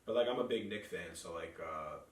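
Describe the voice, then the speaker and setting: A man's voice: sits low, a little fast, reverberant, distant mic. A young man with a New York accent, hosting a podcast and joking around in casual, rambling chat. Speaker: podcast host.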